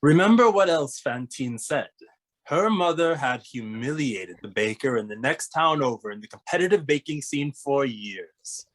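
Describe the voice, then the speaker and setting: A man playing a young amateur detective in a cartoon mystery table read, explaining crimes in a deliberate, theatrical way. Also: mid-range voice, animated, natural voice, low-quality recording. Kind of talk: animated comedy table read, dramatic deduction monologue.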